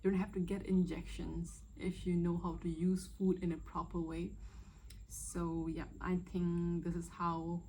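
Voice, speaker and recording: Mellow-voiced young woman chatting casually. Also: fairly low pitch, little pitch movement, unhurried, slight background noise, poor audio. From Myanmar. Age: 20s. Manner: chatty, hesitant, with many fillers. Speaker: vlogger. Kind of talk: casual first-video Q&A chat.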